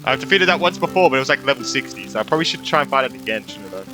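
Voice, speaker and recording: A man's voice: mid-range voice, animated, rapid-fire, rough recording. A young man streaming a video game, giving casual, reactive commentary with informal muttered asides. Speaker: gaming streamer. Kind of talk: casual live playthrough commentary. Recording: game music behind voice.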